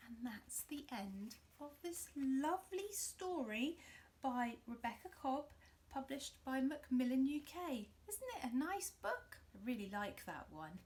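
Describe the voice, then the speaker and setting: A woman telling a story to small children in a fairly high voice. She goes very slowly, with expressive, demonstrative phrasing that invites them to join in. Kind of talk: interactive picture-book reading for young children.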